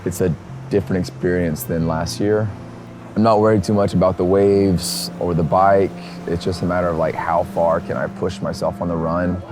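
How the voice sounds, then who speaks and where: A hoarse man vlogging casually outdoors at a race venue, rambling and upbeat with lots of fillers. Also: low voice, level tone, normal speed, noisy background. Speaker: triathlete filming his race. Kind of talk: casual vlog to camera. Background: music.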